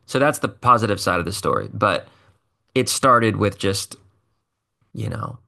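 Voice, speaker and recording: A man's voice: on the low side, animated, measured pace, vocal fry, pushed and bright. A man, a singer being interviewed, telling a story in a chatty, self-deprecating way with loose fillers. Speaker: singer and musician guest.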